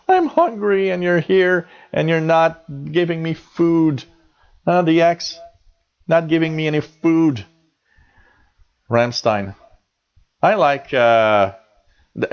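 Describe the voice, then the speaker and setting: A chatty Canadian man in his forties, his voice sitting in a middle range. He rambles along with plenty of ums and restarts as he hosts a live show. The audio is a bit dull and lo-fi. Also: mid-range voice. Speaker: radio show host reading chat. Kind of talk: casual live chat.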